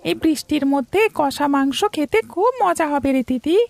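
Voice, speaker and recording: High, creaky little village child voiced by a woman. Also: moderate pace, a little mellow. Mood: eager, craving. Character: small village child, pleading and hungry. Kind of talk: cartoon story dialogue.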